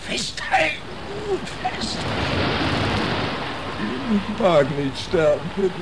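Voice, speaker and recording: An actor playing a desperate shipwrecked sailor, halting and pleading, in an old radio drama. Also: male voice, high-pitched, huge pitch swings, exaggerated voice. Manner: halting, repeating words, pleading. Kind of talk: 1940s German radio drama acting. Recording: noisy old radio play recording.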